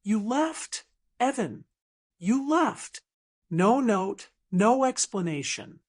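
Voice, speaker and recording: A woman acts out a stubborn small-town woman in her sixties for an audiobook drama. She is blunt and sardonic, with old hurt under lively, swinging pitch. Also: takes it slowly. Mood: bitter, wounded. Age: 60s.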